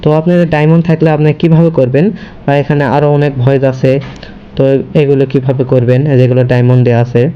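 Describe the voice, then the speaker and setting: A man's voice: medium pitch, little pitch movement, quick pace, dull audio, slight background noise. A man hosting a casual step-by-step screen tutorial, linking his sentences repetitively with the same connective word. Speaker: tutorial host.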